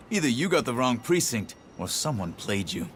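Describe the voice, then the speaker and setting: A man's voice: mid-range voice, very animated, a little slow, slight background noise. A man playing a casual, blunt police officer in a video game. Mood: amused. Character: amused male police officer at a precinct.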